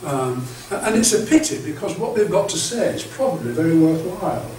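An older man lecturing clergy in a meeting room, his voice a touch higher than most men's. He talks in a chatty, anecdotal way, tripping over restarts. The sound is echoey and distant, picked up by a camera across the room, with a faint hiss behind. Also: very animated, brisk, a little mellow. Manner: chatty, anecdotal, many restarts and stammered repeats. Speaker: bishop leading clergy training. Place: classroom-sized meeting room with projector screen. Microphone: far camera.

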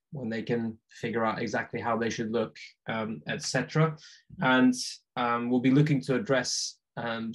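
A man whose first language is Norwegian walks through video text templates on a video call, talking in a relaxed, chatty way with lots of ums and uhs. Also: medium pitch, rough recording. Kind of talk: screen-share tutorial on a video call. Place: home room with bookshelves.

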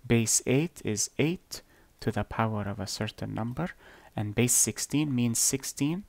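A man whose first language is Arabic, explaining number systems slowly and deliberately over an on-screen drawing, with blunt asides. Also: medium pitch, gravelly, no room sound. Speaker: math teacher. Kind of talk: screen-recorded whiteboard lesson.

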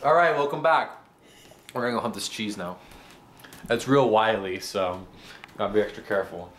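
A man, hosting a mock cooking tutorial in a halting, deadpan way. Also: fairly low pitch. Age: 20s. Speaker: host of a comedic cooking segment. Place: home kitchen indoors. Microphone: camera mic held by another person nearby. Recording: noisy camera audio, room noise.

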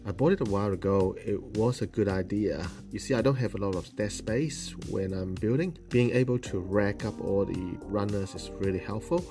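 A man hosting a model-building show, explaining the steps plainly, with pauses and ums. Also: fairly low pitch, music in the background. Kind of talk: hobby tutorial voice-over.

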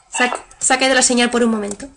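A young woman with a Spain Spanish accent, giving casual, excitable commentary on a game at her computer. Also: fairly high pitch, slight background noise. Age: young adult. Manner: excitable, laughs often, sudden shrieks. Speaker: let's player. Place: room at a computer.

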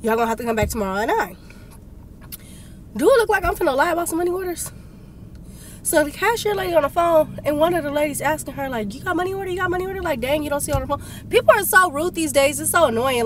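A young woman talks into a dashboard phone from her parked car. She sounds sick and congested, but she tells her story animatedly and vents with plain irritation. Her voice sits a little high, with a faint hum of car noise behind it. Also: expressive, fast talker. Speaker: vlogger. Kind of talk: casual vlog rant. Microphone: phone camera on dashboard.

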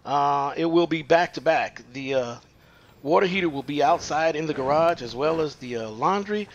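A man working as a plumber, explaining a pipe installation in an unfinished house, pausing often with fillers. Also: a little high, vocal fry, muffled recording, a little hiss or hum. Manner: halting, many "uh" fillers. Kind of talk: hands-on how-to explanation. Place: unfinished house under construction, indoors.

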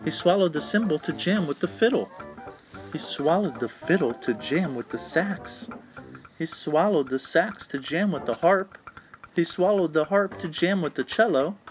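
Guy reading a kids picture book out loud, sing-songy rhymes, music playing behind him. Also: on the high side, rough recording. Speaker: children's book read-aloud narrator. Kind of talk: storybook read-aloud for children. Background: instrumental music.

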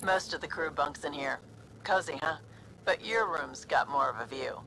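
A woman's voice: deep voice, moderate pace, music in the background, close to an everyday voice, poor audio. An actress playing a wry young woman crew member who trades on the side, teasing and breezy. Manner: teasing, breezy, laughs easily.

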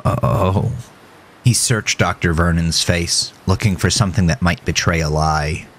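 A man's voice: low-pitched, fairly even intonation, normal speed, fairly bright tone, a little hiss or hum. A man narrating a scripted horror story.

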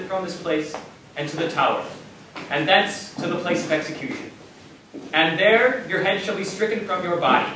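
A man in a stage play acting as a grave, formal court figure, declaiming solemnly and deliberately. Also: high-pitched, bright, forceful tone. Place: theatre stage, indoors. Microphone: far camera in audience. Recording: very echoey theatre, distant mic, faint noise.

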